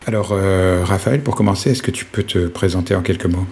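A man hosting a podcast and introducing his guests in a measured way. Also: low voice, darkish tone, a little hiss or hum. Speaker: podcast host.

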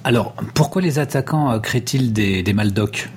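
A man's voice: medium pitch, moderate pace, a little mellow, slightly rough. A man hosting a podcast, reading introductions and putting interview questions. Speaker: podcast host.

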